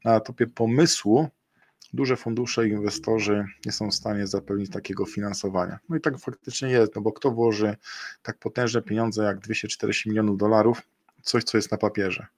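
A middle-aged man presents a webinar on a video call, in a fairly low and quite flat voice. His delivery is halting and full of fillers and restarts. The sound is dry and close. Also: no echo. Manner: hesitant, many fillers and self-corrections. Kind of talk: screen-share webinar presentation. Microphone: webcam and computer mic on a video call.

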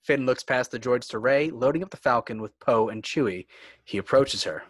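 A man narrating stage directions for a scripted fan table read in a measured, clipped way. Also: medium pitch, no room sound.

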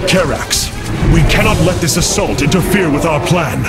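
Solemn, commanding alien leader. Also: male voice, a little high, expressive, normal speed. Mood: urgent command. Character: noble, resolute alien commander of armies. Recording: loud cinematic music behind.

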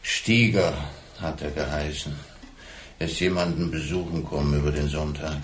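A man's voice: extremely low pitch, steady tone, moderate pace. An actor playing a stable hand in his late fifties who answers plainly and a little hesitantly. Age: 50s. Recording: roomy with faint noise.

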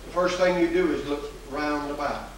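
A man's voice: a little high, normal speed. An older man preaching a folksy, emphatic sermon from a church pulpit, with repeated phrases and filler uhs, recorded on 1980s video. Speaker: pastor. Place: large church sanctuary at a pulpit. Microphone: pulpit microphone, far camera. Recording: echoey church hall from far off, hissy 1980s video.

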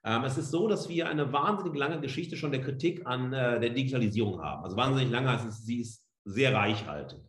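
A middle-aged man giving a free-spoken academic lecture as a professor, emphatic and rhetorical, repeating phrases and pausing for weight. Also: mid-range voice, lively intonation. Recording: echoey room sound.

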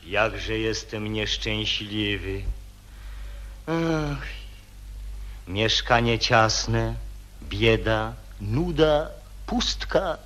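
A man's voice: lowish voice, expressive, very slow, pauses often, fairly bright tone, exaggerated voice. A man playing a desperate, gloomy young man in an old radio comedy, lamenting melodramatically. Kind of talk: 1940s radio acting.

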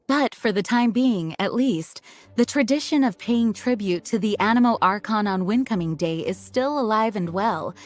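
A woman acts a young female knight commander in an anime game. She explains things with formal composure and earnest duty, in a mid-pitched voice over faint music. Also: lightly acted. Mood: earnest, explanatory. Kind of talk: anime video game voice acting.

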